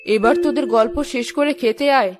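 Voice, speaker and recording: A woman's voice: a little high, brisk, lightly acted. A woman voicing an affectionate middle-aged mother in a cartoon. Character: affectionate middle-aged village mother missing married daughters. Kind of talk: cartoon dialogue dub. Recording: music clearly behind the voice.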